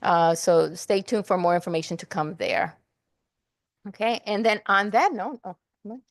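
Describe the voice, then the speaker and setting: Halting woman giving a meeting update. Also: medium pitch, no room sound, studio quality. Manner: halting, many fillers and restarts. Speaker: committee staff lead. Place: meeting room.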